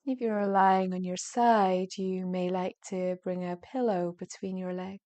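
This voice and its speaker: A woman leading a guided yoga nidra relaxation in a gentle, soothing manner, with soft phrases that turn breathy at the ends and long pauses between them. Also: on the low side, level tone.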